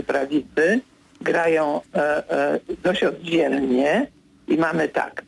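Gravelly older man, halting then brisk. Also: fairly high pitch. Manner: hesitant, many fillers, then brisk assertions. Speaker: political commentator, guest. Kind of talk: radio interview. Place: radio studio.

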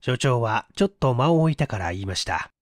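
A male audiobook reader narrates a story in a medium-pitched voice. The delivery is scripted narration, recorded with a dry sound and very little room. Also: expressive, brisk, dry recording.

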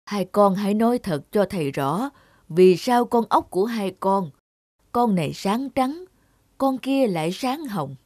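A woman voicing a gentle old village teacher. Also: medium pitch, animated, a little slow, no room sound, clean studio sound. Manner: gentle, fatherly.

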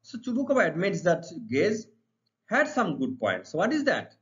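A man's voice: sits high, wildly swooping pitch, measured pace. A middle-aged man with an Indian accent teaching an exam-preparation lesson, reading out questions and explaining the answers didactically, often repeating his phrases. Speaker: English literature teacher. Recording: dull, muffled lo-fi sound.

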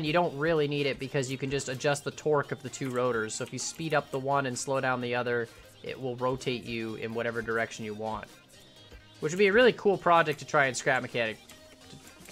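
A young man in his twenties chatting casually as he builds during a live game stream, rambling and thinking aloud, his voice starting to go hoarse. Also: mid-range voice, a bit bright. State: voice going hoarse. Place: home room at a computer.

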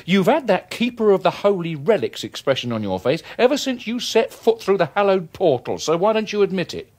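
Irritable english husband in an 80s radio play, blustery and sarcastic, voice swooping all over. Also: male voice, sits high, big pitch swings, a little fast, a little mellow. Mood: resentful, sarcastic. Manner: blustering, stammering, colloquial. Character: irritable, wheedling working-class husband, sarcastic and resentful. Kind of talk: 1980s British radio drama acting.

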